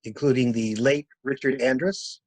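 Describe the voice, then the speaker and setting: A man's voice: mid-range voice, steady tone, normal speed, a little mellow, no echo, close to an everyday voice. A man playing a shrewd, blunt stranger who questions people like a detective in a dramatic mystery reading. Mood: wry. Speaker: amateur reader, library staff.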